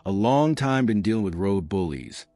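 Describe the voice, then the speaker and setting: Audiobook part: man reading as veteran woman trucker, steady, guarded, unafraid, dry sound. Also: fairly low pitch, moderate pace, dry recording, close to an everyday voice. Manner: neutral, steady, controlled.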